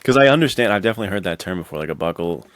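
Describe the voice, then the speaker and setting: A man with a deep voice chats as a podcast co-host. He is enthusiastic and slangy, speaking loosely and informally. The sound is dry and close, with very little room in it. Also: expressive, racing, fairly bright tone, dry recording. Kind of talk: casual podcast chat.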